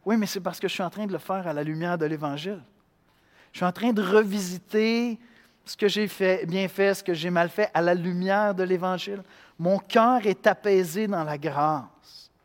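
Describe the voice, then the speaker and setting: A man from Quebec with a Québécois accent, preaching a sermon in an animated way, acting out voices and swinging between loud and hushed. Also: high-pitched, normal speed, in a large hall. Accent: Québécois French. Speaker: pastor.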